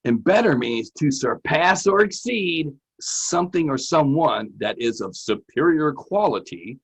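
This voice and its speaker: A man's voice: fairly high pitch, animated, measured pace, breathy edge. A man presenting a lesson as a teacher-host, chatty, folksy and playful, often repeating himself. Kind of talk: casual, chatty educational presenting.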